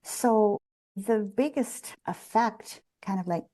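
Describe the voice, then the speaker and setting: A woman's voice: mid-range voice, slow, warm tone. A middle-aged woman guest telling her life story frankly, reflective and rambling, with a few restarts. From Canada. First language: Hungarian. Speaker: interview guest. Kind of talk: candid personal storytelling.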